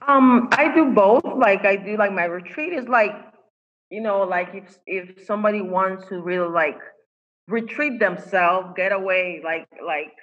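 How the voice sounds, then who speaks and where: Woman guest, Spanish as first language, earnest coach, husky tone, muffled call audio. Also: medium pitch, measured pace, fairly bright tone. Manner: earnest, emphatic, many fillers and restarts. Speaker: guest, faith-based women's coach. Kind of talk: podcast interview. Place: home in Costa Rica.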